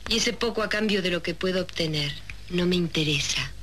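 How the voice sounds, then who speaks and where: An actress playing an ambitious young woman in a 1970s soap opera, speaking in a deliberate, dramatic way. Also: on the low side, fairly bright tone, lightly acted. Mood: cold, calculating. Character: ambitious, cold young woman from a humble neighbourhood. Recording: noisy old 1970s TV soundtrack.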